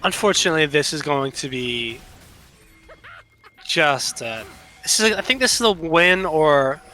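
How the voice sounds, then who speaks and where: A man's voice: on the high side, lively intonation, unhurried, bright, forceful tone, faint music behind. A man giving casual live analysis and banter as a commentator on a video game match.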